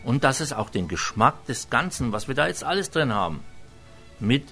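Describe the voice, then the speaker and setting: A man's voice: medium pitch, very animated, moderate pace, fairly bright tone. Franconian cook guy, homely rambly talk, lots of ums, faint music behind. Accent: Franconian German. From Franconia, Germany. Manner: homely, rambling, many hesitations. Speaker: restaurant chef. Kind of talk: cooking demonstration chat.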